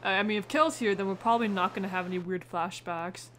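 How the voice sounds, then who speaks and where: Woman, gaming host, average pitch, casual reactive commentary, informal muttered asides, noisy home recording. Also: fast talker, fairly bright tone.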